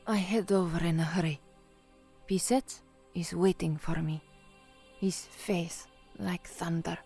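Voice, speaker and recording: An actress playing a young newsreader in a science fiction audio drama, weary and anxious. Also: female voice, on the low side, steady tone, slow pace, mellow, husky, soft background music, full character voice. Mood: weary, introspective. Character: young slum-born newsreader, anxious, weary, hard-drinking narrator. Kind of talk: audio drama first-person narration and newsreading.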